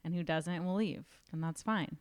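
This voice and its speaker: Twenties woman podcast cohost, smooth clear voice, kinda lowish, says like a lot. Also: moderate pace. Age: 20s. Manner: reflective, says "like" often. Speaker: podcast co-host. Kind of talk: casual chat.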